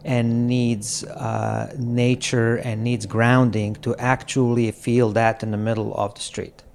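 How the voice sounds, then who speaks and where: Slow, reflective man from Croatia. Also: mid-range voice, level tone, vocal fry, a little hiss or hum. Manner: slow, halting, with frequent pauses and soft laughs. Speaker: podcast guest. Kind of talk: reflective conversation. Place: apartment in a city.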